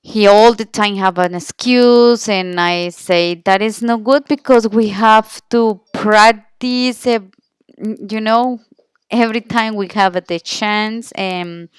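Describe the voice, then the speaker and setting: A woman's voice: medium pitch, steady tone, slow, clean tone, no echo. A woman with a Colombian Spanish accent gives an informal class presentation in English as a second language, searching for words and correcting herself. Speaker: language student presenting an interview.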